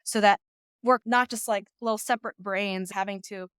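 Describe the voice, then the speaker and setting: A woman's voice: mid-range voice, steady tone, normal speed, fairly bright tone, clear, resonant tone, no echo, clean studio sound. A woman in her thirties from the US, a startup founder speaking fluently and reflectively as a podcast guest. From United States. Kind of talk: podcast interview.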